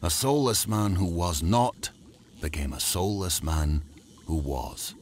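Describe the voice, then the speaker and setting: An actor playing a proud, tormented mad scientist, father of a monster, in a theatrical, deliberate horror audio drama monologue. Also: low-pitched, animated, a little hiss or hum. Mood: grandiose, tormented. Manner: grandiose, theatrical, deliberate delivery.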